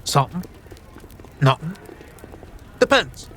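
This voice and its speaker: An actor playing a cheeky, scheming card cheat in an audio fantasy drama, with a sly, teasing, slangy way of talking. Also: male voice, a little high, sing-song, slow, fairly bright tone, soft background music, exaggerated voice.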